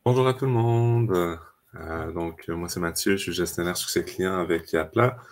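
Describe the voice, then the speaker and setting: A man presents software during an online webinar. His voice sits very low. He explains things steadily and uses frequent fillers. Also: normal speed. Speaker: customer success manager.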